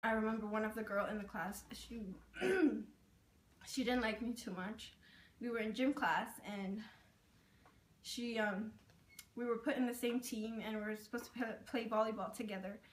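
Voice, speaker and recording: Higher-voiced young woman, roomy and distant. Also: normal speed. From Burma, raised in Thailand. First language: Karen. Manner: chatty, with many "like" and "um" fillers. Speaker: interviewee sharing her experience. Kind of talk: casual personal storytelling.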